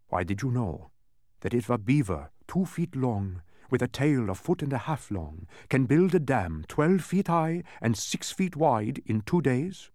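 A man voicing a fussy, pedantic, excitable dodecahedron creature in a storybook, with an emphatic, scolding manner. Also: on the high side, dry recording, studio quality, pitched above the performer's own voice. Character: fussy, exacting many-faced dodecahedron, male, excitable.

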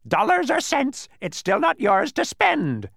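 Storybook villain-pest: a filthy old bird, high shrieking, wildly swooping, haughty and gleefully pedantic. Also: male voice, huge pitch swings, measured pace, creaky, a bit bright, dry recording, clean studio sound, exaggerated voice.

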